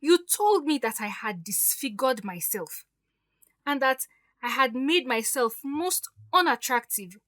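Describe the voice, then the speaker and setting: A woman's voice: sits high, lively intonation, measured pace, a bit bright, dry recording. A woman playing a defiant, hurt stepdaughter in an audio drama, speaking emphatically and with grievance. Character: defiant, wounded grown stepdaughter standing up for herself.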